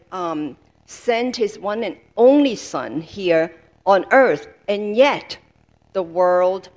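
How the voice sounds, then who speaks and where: A woman interpreting a sermon aloud, haltingly, piecing sentences together in short fragments. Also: lowish voice.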